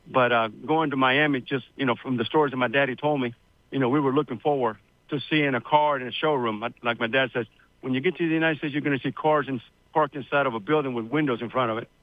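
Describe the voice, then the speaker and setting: A man's voice: mid-range voice, fast talker, dry recording, narrow, tinny audio. A man in his sixties, born in Cuba, telling his family story as a radio guest in a rambling, chatty way, with many fillers. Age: 60s. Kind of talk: casual interview storytelling.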